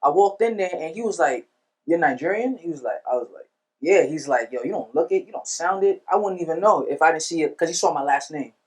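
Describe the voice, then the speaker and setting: A man talking as a musician guest on a podcast, telling stories in a relaxed, slangy way. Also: fairly high pitch, fairly quick, low-quality recording. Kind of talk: casual podcast chat.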